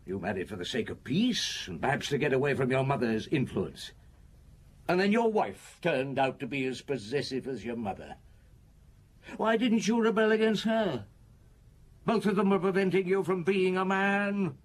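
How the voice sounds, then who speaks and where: An actor playing a shrewd middle-aged police chief in a radio drama, interrogating a suspect in an unhurried, cutting way with a husky voice. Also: male voice, a little high, expressive. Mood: accusing.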